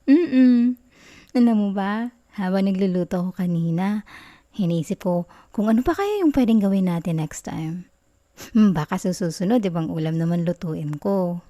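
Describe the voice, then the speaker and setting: A woman with a Filipino accent playing a sweet, bashful, doting girlfriend in an affectionate ASMR roleplay, talking softly and coyly in a giggly, tender way. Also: mid-range voice, animated, a little slow, long pauses, lightly acted. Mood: happy, caring. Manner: soft, giggly, coy, tender.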